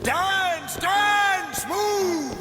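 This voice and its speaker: An actor playing a flamboyant, tyrannical artist and impresario in a game, theatrical and grandiose, lurching between extremes. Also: male voice, very high-pitched, drawn-out words, in a large hall, full character voice. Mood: imperious frenzy. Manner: theatrical, grandiose, abrupt swings from shouting to whispers. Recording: music under the voice.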